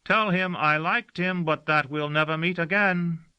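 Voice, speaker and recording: A man doing a loud cheerful forgetful servant. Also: on the high side, normal speed, smooth, lo-fi sound, pitched above the performer's own voice, natural voice.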